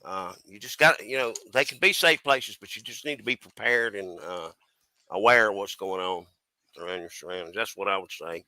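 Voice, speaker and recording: A man from the US East Coast chatting casually as a stream panelist, giving his opinions in a halting, folksy way. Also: lowish voice, sharp tone, dry recording. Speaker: panelist on a crime chat stream.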